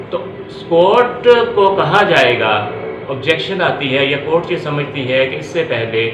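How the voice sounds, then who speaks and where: A man giving an explanatory law lecture, going step by step and repeating key points as he teaches. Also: mid-range voice. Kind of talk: explanatory lecture mixing Urdu and English. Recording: echoey room, faint background noise.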